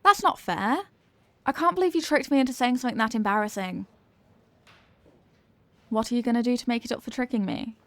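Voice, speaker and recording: A woman's voice: a little high, brisk, long pauses, a bit bright, no echo. A young woman acting a flustered, coy popular cheerleader girl with a secret crush, in an intimate ASMR romance roleplay. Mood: flustered, embarrassed. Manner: coy, flustered, dramatic, with hesitant stammers.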